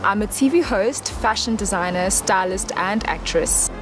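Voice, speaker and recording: A woman in her twenties from Johannesburg introduces herself with self-assurance. A clear music bed runs underneath. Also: mid-range voice, measured pace. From Johannesburg, South Africa. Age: 20s. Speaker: TV host and stylist.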